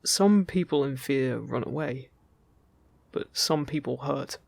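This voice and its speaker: A man's voice: medium pitch, animated, slow, creaky, no room sound, full character voice. A man playing a friendly, teasing male fairy in an audio roleplay, chatting casually and reassuringly to the listener. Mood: playful, reassuring.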